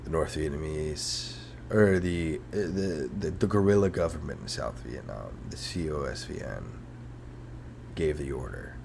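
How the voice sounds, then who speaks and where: A man's voice: a bass voice, animated, unhurried, creaky, background noise. A man hosting a comedic history podcast in a rambling, sarcastic, self-mocking monologue. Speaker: podcast host.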